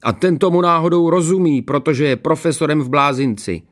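A man's voice: on the high side, pitched above the performer's own voice, close to an everyday voice. A man voicing a tattling young schoolboy in a story, whiny and accusing.

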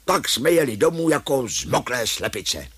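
A man's voice: mid-range voice, sing-song, slightly rough, no echo, full character voice. A man voicing a plain-spoken, kindly old grandfather in a children's story. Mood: fond. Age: older.

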